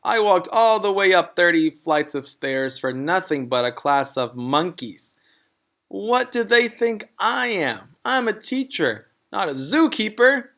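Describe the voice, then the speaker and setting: A man's voice: high-pitched, big pitch swings, a little slow, a bit bright, voice pushed up, full character voice. A man voicing a nice, dotty, easily astonished woman schoolteacher with an emphatic, exclaiming manner. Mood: indignant.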